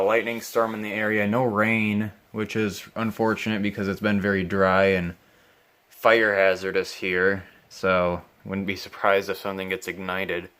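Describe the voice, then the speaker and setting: A man giving casual, unscripted commentary as he repairs a vintage radio, thinking aloud in a halting, musing way. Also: lowish voice, a bit bright.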